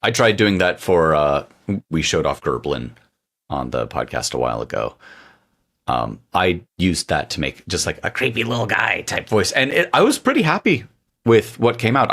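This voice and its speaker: Deep low guy on a tech podcast, jokey casual banter. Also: fairly bright tone. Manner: playful, jokey, tangents. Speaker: podcast co-host.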